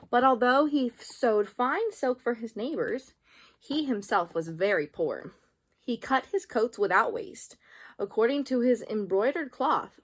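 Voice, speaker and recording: High-voiced woman reading a storybook. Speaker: storyteller reading children's books aloud. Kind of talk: expressive storybook narration.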